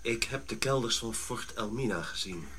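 Earnest, expressive army adjutant. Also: male voice, medium pitch, moderate pace, breathy edge. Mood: doubtful, probing. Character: earnest, principled Dutch colonial army adjutant. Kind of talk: radio drama acting.

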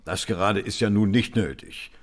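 A man acting a vain celebrity customer in a radio drama. The voice is fairly low, pompous and brusque, with a haughty, easily offended manner. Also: normal speed, lightly acted. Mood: haughty complaint. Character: vain, indignant famous film actor as shop customer. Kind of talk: German radio play acting.

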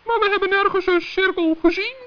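A performer playing a small, polite, anxious male forest gnome in a children's radio play, with a squeaky, hesitant voice. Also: a little fast. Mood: polite, puzzled. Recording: dull old radio play recording.

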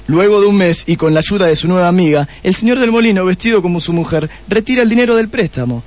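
Casting: cocky Argentine sleuth, high-pitched, self-satisfied, theatrical radio-play delivery. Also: male voice, animated. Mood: triumphant, explaining. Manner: self-satisfied, explaining with dramatic pauses. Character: cocky young male police detective, smug and theatrical. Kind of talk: comedic radio drama acting.

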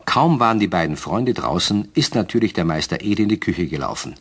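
Male storyteller, very deep pitch, husky, expressive melody, scripted narration. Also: brisk.

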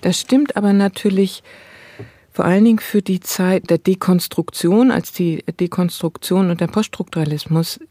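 A woman scholar giving an interview. Her voice is mellow and soft-edged, recorded dry and close, and she explains things in long, considered, academic sentences. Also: on the low side, fairly even intonation, moderate pace, dry recording. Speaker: interviewed cultural studies scholar.

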